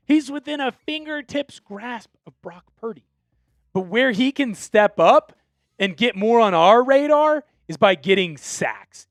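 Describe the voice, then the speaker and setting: Man, young adult, live football talk host, opinionated, high voice. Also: a bit bright, no echo, studio quality. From Washington State, USA. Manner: opinionated, fired-up fan talk, reads viewer texts aloud. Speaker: sports show host. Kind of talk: live sports talk stream.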